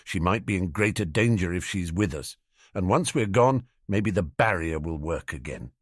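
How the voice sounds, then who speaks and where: A man voicing an urgent, forceful schoolboy wizard who is insistent and commanding, in a storybook reading. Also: low voice, lively intonation, normal speed, no echo, studio quality.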